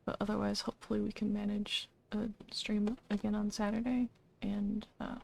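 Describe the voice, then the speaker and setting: Girl streaming a game, chill low-key chat, creaky voice, pretty even tone. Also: moderate pace, slight background noise. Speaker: livestreamer.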